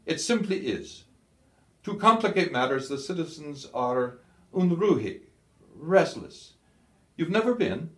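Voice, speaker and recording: A male narrator plays a German field marshal from a wartime audiobook, curt, authoritative and scornful. The voice is pushed up higher and rings in a roomy, echoey space. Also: very animated, measured pace, a bit bright. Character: commanding, scornful German field marshal, balding war veteran. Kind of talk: audiobook character dialogue.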